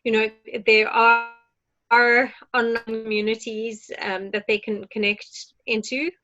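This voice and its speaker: A woman with a somewhat high voice shares her experience on an online panel. She talks earnestly, pausing and restarting often. The audio is muffled and lo-fi, like a video call. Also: level tone, pushed and bright. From moved abroad to Ireland. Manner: earnest, reflective, many restarts and ums.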